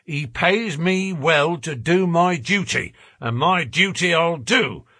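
A man voicing a gruff, blunt, burly porter and former prize fighter in an audiobook story. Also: on the high side, sing-song, unhurried, no echo, studio quality, heavily acted. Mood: gruff, stubborn. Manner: rough working-class grammar, blunt and loud.

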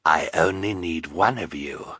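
A man's voice: deep voice, measured pace, pushed and bright, breathy edge, no echo, full character voice. A scheming storybook villain, acted by a male narrator. He gloats slyly and takes his time over each line. The sound is dry.

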